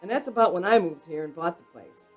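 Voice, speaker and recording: An actor voices a property owner in a radio play, reminiscing about a place's past. The voice is medium in pitch and conversational, with music playing behind it. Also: lively intonation, fast, natural voice, low-quality recording. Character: chatty local property owner telling its history. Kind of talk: radio drama acting.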